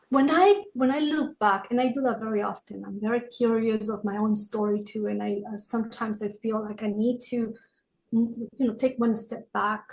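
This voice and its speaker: A woman's voice: medium pitch, rough recording. A middle-aged woman from Peru with a Peruvian Spanish accent, answering reflectively as an interview guest and searching for her words. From Peru, raised in Lima. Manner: searching for words, halting phrasing. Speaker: podcast guest, author and wellness consultant.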